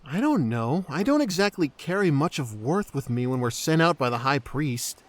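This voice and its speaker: A man's voice: on the high side, big pitch swings, normal speed. A man playing an earnest, cautious and polite cleric in a fantasy audio drama. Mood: earnest, concerned.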